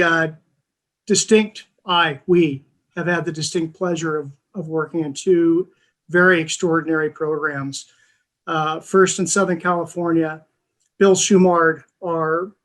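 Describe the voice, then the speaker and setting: A man with a fairly high voice gives an acceptance speech on a video call. He speaks earnestly and haltingly, with frequent pauses. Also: steady tone, a bit bright. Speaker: award recipient. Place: video call from an indoor room. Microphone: video call microphone.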